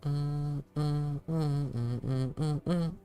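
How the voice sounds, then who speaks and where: A man's voice: fairly high pitch, fairly even intonation, drawn-out words, dark tone, soft background music. A man chatting casually as a game streamer, rambling and self-deprecating. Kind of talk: casual livestream gameplay chat.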